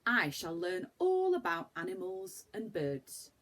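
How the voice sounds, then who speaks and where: An eager young boy from a children's storybook, voiced by a woman reader, exclaiming with excitement. The voice is lively and swooping, with a slightly husky edge. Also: medium pitch, a little slow, full character voice. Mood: eager, excited.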